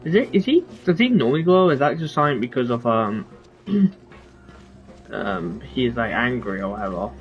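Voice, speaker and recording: Young man rambling casually, lively pitch. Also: normal speed, soft background music. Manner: rambling, thinking aloud. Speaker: game streamer. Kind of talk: casual gameplay commentary.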